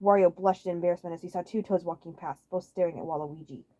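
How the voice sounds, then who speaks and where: A woman's voice: fairly low pitch, fairly even intonation, fast talker, mellow, muffled recording. A woman reading fan fiction aloud as a scripted story narrator on a home microphone.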